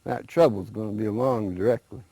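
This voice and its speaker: A man's voice: lowish voice, a little fast, warm tone, breathy edge, dry recording. A man voicing a sly male rabbit trickster, talking in a folksy, persuasive way.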